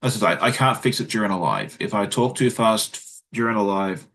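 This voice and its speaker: An Australian man, a guest, talks quickly in a casual livestream chat with lots of fillers and restarts. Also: lowish voice, a bit bright. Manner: fast-talking, chatty, many fillers and restarts. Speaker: guest content creator.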